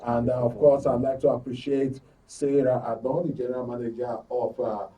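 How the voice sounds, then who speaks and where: A man's voice: medium pitch, level tone, normal speed, dark tone, recorded from a distance. A man with a Nigerian accent hosting a current affairs talk show, speaking emphatically and repeating phrases for stress. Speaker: radio and TV talk show host. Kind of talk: current affairs discussion and programme sign-off. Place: radio and TV studio.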